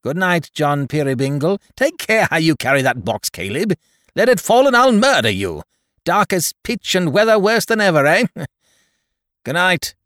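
Tackleton, a man doing a shrill sneering merchant. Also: very animated, normal speed, no echo, studio quality. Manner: sarcastic, loud and sharp. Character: sneering harsh male toy merchant.

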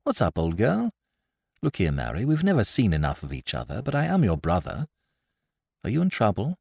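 A man voicing a jovial, glib upper-class gentleman who chatters in chummy slang. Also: on the low side, huge pitch swings, moderate pace, creaky, slightly rough, no echo, studio quality, full character voice. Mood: cheery, concerned.

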